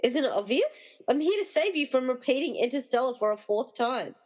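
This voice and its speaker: A woman playing an excitable, wry, slightly exasperated rescuer in a comedy radio play. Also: high voice, fairly quick. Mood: wry, matter-of-fact.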